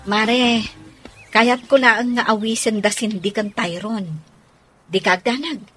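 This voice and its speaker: A woman playing a concerned, protective adult in a radio drama, speaking in a reassuring, measured way. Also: on the high side, steady tone, faint music behind.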